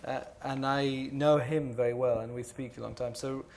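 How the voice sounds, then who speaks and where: A man's voice: mid-range voice, fairly even intonation, moderate pace, darkish tone. Hesitant man speaking at a panel talk. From Jordan. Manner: hesitant, many fillers and restarts. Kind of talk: reflective panel conversation.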